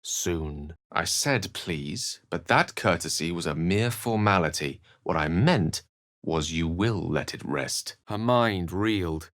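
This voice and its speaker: A learned, urbane game wizard with a low voice and a close, dry sound. He speaks eloquently and with theatrical care, measuring each phrase like a practiced storyteller. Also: lively intonation, takes it slowly, fairly bright tone, no room sound, exaggerated voice. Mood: grave, dramatic. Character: erudite, urbane male wizard with a theatrical streak. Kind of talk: video game character dialogue and narration.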